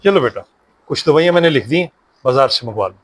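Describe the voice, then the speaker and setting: Man acting a lively, fatherly family doctor. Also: mid-range voice, fast talker, lightly acted. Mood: reassuring. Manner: mixes English words into speech. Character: reassuring fatherly family doctor, pious, earnest. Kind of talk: Urdu moral short-film acting.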